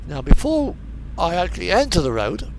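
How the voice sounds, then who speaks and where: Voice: medium pitch, huge pitch swings, normal speed, noisy background. A game streamer giving casual live commentary, thinking aloud and muttering through options.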